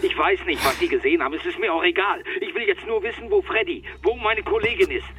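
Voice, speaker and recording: An actor playing a tense, demanding police detective in a radio drama, urgent and commanding. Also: male voice, high voice, fast, pushed and bright, breathy edge, full character voice. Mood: urgent, angry. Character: urgent, forceful male police detective seeking his colleague. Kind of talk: modern German radio drama acting.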